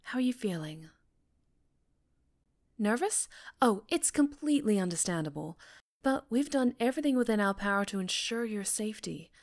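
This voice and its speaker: Casting: actress as female TV interviewer, medium pitch, polished and encouraging, articulate showy delivery for an audio roleplay. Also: animated, moderate pace, pauses often, natural voice. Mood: reassuring, upbeat. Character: polished, encouraging female TV talk show host. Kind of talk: scripted ASMR roleplay acting, live talk show.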